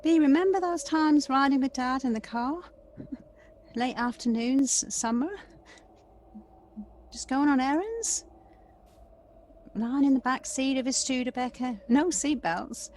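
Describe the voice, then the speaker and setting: A woman's voice: high-pitched, measured pace, long pauses, a little mellow, a little hiss or hum. An actress playing an emotional grown woman in an audio play, a wistful sister who envies her sibling. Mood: nostalgic.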